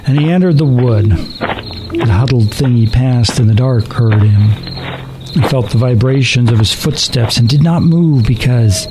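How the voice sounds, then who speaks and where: A man narrating a radio play in a measured, literary storytelling way. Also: mid-range voice.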